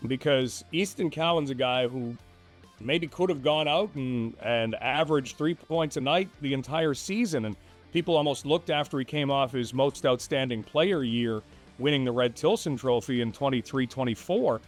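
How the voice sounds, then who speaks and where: A man, a sports broadcaster appearing as a guest, talks through hockey analysis conversationally, explaining with emphatic, repeated short phrases. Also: a little high.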